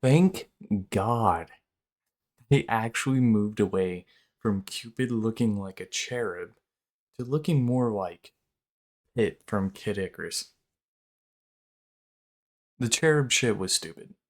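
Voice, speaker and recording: A man with a stuffy nose from a sinus infection, giving blunt, excitable reaction commentary as a video creator. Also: on the low side, takes it slowly, pauses often.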